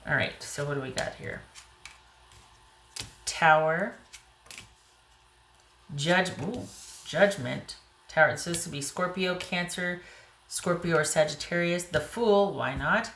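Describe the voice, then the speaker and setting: A woman reading tarot cards to camera in a casual way, a little hoarse, thinking aloud as she pulls the cards. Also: fairly low pitch, slow pace, long pauses, a bit bright, roomy sound, slight background noise. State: slightly hoarse. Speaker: tarot reader.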